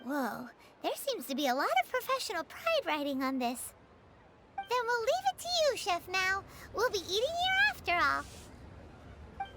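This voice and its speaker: A woman voicing a tiny, talkative, excitable fairy-like girl guide with a squeaky childlike voice in a video game. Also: unhurried, very noisy, full character voice. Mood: surprised, chirpy. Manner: speaks of self in third person, excitable. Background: cheerful game music.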